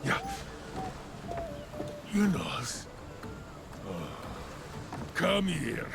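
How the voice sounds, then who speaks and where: A man's voice: a little high, very animated, extremely slow, gravelly, loud music behind the voice, exaggerated voice. A man playing a dim-witted, crude male wrestler in a video game, talking in broken, slurred grammar. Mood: crude, boastful. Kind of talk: video game cutscene acting. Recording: loud background ambience noise.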